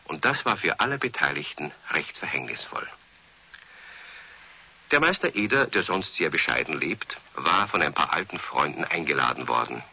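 A man narrating a children's radio play as a dry, wry storyteller with a husky voice. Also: very deep voice, big pitch swings, normal speed, pushed and bright, heavily acted. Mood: wry, explanatory.

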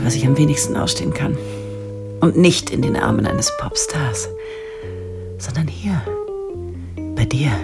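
A woman playing a charming, calculating diplomat's wife in a radio drama, intimate and deliberate, with a half-whispered voice. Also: a bass voice, heavily acted. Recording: loud guitar music behind voice. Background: plucked guitar music.

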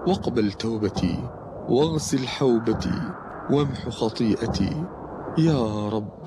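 Man acting a praying penitent amid loud noise. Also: medium pitch, measured pace, natural voice. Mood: humble pleading. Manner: chant-like repeated supplication. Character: penitent male sinner praying humbly for forgiveness.

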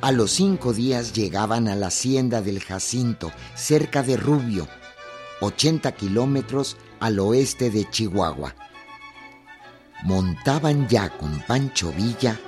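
Un hombre que narra con solemnidad y mesura una crónica revolucionaria para una radionovela. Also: male voice, on the low side, lively intonation, darkish tone. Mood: solemn. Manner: measured, declarative. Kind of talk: scripted radio drama narration. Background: music.

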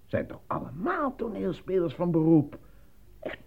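A muffled, lo-fi radio play recording carries an eager and naive fellow with a husky voice. He stammers, gets flustered easily and leaps about in pitch with excitement. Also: unhurried, heavily acted. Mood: boastful, excited. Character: eager, naive, easily flustered male actor cast as minister. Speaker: actor.

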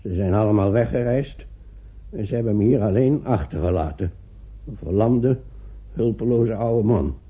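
A lonely, bedridden old man in a vintage radio drama. His husky, fairly low voice is querulous and self-pitying, swinging widely in pitch. The sound is narrow and phone-like. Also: normal speed. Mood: self-pitying, plaintive. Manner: plaintive, testy, dramatic swings from murmur to outburst. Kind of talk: radio play acting in Dutch.

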